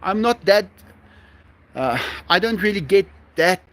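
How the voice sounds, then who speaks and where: Chatty South African man, high and lively. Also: heavy background noise. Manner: chatty, laughs often, halting restarts. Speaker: livestream host. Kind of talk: casual live-stream chat with viewers.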